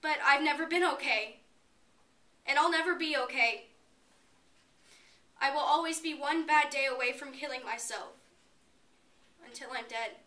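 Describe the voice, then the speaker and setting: A woman's voice: sits high, a little slow, long pauses, pushed and bright. A woman performing a dramatic stage monologue as a despairing, bitter young college student, emotive and confessional. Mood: despairing, insistent. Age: young adult. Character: despairing suicidal college girl, bitter and pleading. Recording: roomy, distant mic, faint noise.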